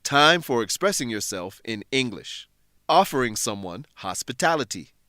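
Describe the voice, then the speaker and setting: A man presents a scripted English lesson in a deliberate, instructive manner. His mid-pitched voice moves up and down in a lively way, with a very dry sound. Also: no room sound. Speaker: lesson narrator, English teacher. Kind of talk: scripted educational narration.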